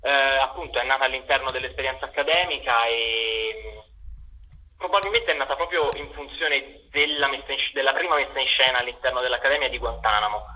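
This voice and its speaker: A man's voice: mid-range voice, brisk, phone-quality sound. A young man answering interview questions as a theatre director, in an earnest, wordy and articulate way. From Italy.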